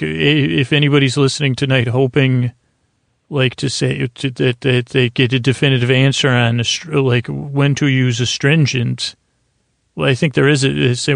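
A man hosting a bedtime podcast in a creaky voice, meandering and halting as he trails off mid-thought. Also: medium pitch, no echo, studio quality. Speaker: podcast host. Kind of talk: rambling bedtime monologue to help listeners sleep.

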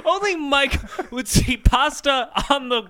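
A man's voice: very high-pitched, takes it slowly, sharp tone, slight background noise. A man co-hosting a comedy podcast, chatting casually in a teasing, mock-scolding way. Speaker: podcast co-host.

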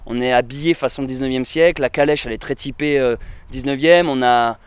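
A man's voice: medium pitch, a little fast, clear, resonant tone, no echo. A man chatting casually in an interview, rambling with many fillers and restarts. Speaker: interviewee, traveler and videographer.

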